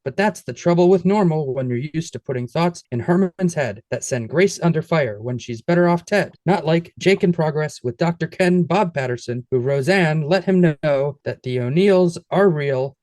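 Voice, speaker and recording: A man's voice: on the high side, animated, darkish tone, dry recording. Dry-sounding playful man hosting a podcast. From United States. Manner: playful, rapid wordplay. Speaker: podcast co-host. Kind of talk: scripted pun-filled guest introduction, then casual chat.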